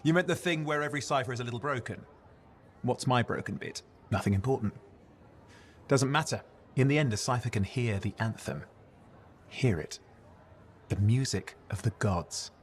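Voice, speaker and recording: A man's voice: mid-range voice, expressive, moderate pace, long pauses, a little hiss or hum, close to an everyday voice. A man acting as a cocky, teasing young tech in a video game, glib and playful, deflecting with jokes. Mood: wry, intense. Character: teasing, cocky young male pilot's technician, self-deprecating.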